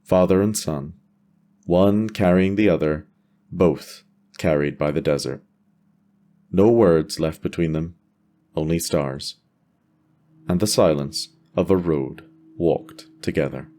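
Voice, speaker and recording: A man's voice: sits low, takes it slowly, long pauses, dark tone. A man delivering scripted, cinematic narration for a fantasy audio drama.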